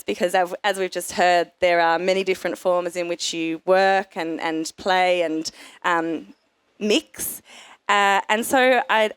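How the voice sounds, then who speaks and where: A woman moderating a festival panel, introducing guests and asking measured questions with frequent fillers. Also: on the low side, clear, resonant tone, dry recording. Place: festival stage.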